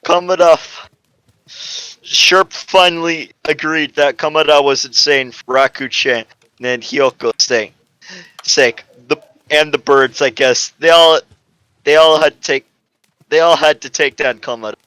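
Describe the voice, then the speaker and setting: Bright-voiced man reading a story aloud. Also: a little high, fairly even intonation, measured pace, clean tone, no room sound. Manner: informal, stumbling over the text. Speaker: narrator. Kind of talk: amateur dramatic reading of a comic story.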